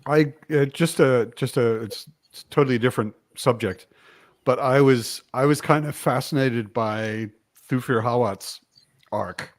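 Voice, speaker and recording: A man's voice: medium pitch, measured pace, dry recording. A man chatting casually in a book discussion, repeating words as he gets his thoughts going. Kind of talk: casual chat.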